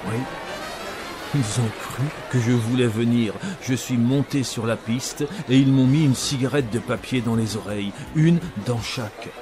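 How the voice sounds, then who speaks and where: An actor playing a man who recounts a childhood memory in an audio drama, moving between hushed and excited storytelling. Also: medium pitch, a little slow, lightly acted. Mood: excited, vivid. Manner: expressive, swinging from hushed to excited. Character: man recounting his childhood circus mishap, wistful, vivid storyteller. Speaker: narrator of an audio fiction. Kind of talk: dramatised first-person storytelling. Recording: music bed under the voice.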